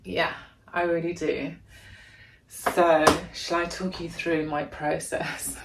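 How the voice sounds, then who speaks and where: A woman with a deep voice, chatting casually and gushing as she unboxes a gadget in a vlog at home. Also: level tone, slow, creaky, heavy background noise. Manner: gushing, chatty, thinking aloud. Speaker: vlogger.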